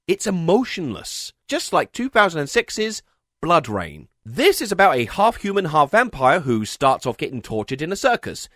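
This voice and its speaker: Animated, exasperated man reviewing films. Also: a little high, moderate pace, no room sound, clean studio sound. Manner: exasperated, sarcastic, emphatic, sweary. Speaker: video essayist, film reviewer. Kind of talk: scripted comedic film critique voice-over.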